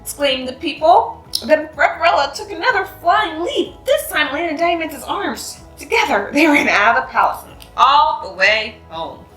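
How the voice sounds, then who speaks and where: A woman reading a children's picture book aloud in an expressive, sing-song way. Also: high-pitched, moderate pace, few pauses. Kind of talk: storybook read-aloud. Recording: echoey room with faint music.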